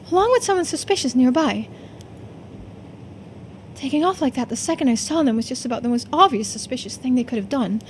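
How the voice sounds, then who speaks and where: A woman's voice: high-pitched, darkish tone, slight background noise. A woman voicing an anxious, self-doubting young woman in a visual novel game, talking to herself emotionally. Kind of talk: dramatic voiced reading of visual novel game dialogue.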